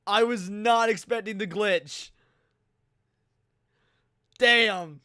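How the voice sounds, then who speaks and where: A man's voice: high voice, extremely slow, sharp tone, clear, resonant tone, no echo, clean studio sound. A man hosting a livestream, giving casual reaction commentary full of swearing and slang. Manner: profane, slangy. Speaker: livestream host. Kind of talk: casual live reaction commentary to horror series.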